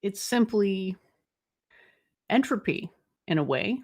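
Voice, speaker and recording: A woman giving informal news commentary in a deliberate, reflective way, pausing mid-phrase. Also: medium pitch, expressive. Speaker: co-host commentator.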